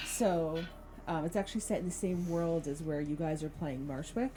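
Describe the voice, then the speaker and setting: A woman's voice: deep voice, steady tone, normal speed, over music. A woman chatting casually as a game master telling people about the shows they put on. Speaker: tabletop game master promoting own shows. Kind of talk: casual chat.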